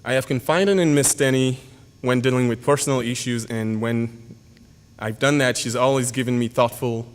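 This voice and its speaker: A young man, a graduating senior whose first language is Yoruba, reading a formal, earnest speech at a podium with halting pauses and fillers. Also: mid-range voice, steady tone, smooth. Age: young adult. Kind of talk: prepared speech read at a podium. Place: school auditorium. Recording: hall reverb.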